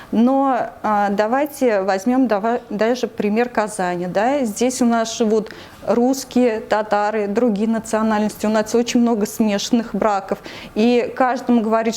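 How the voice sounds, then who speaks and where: A woman with a Tatarstan Russian accent, answering news interview questions in a measured way with frequent hesitations. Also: a little high, darkish tone. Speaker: anti-corruption committee member, interview guest.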